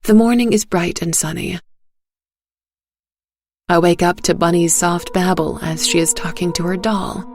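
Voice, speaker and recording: A woman narrating a dark fairy tale in the first person, with measured, hushed suspense. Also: fairly low pitch, steady tone. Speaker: story narrator.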